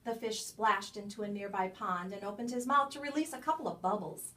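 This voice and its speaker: Recorded a little way from the microphone, a woman with a mid-pitched voice reads a children's picture book aloud. She tells it expressively, leaning on key words and pausing for drama. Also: bright, forceful tone, slight background noise. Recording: distant microphone.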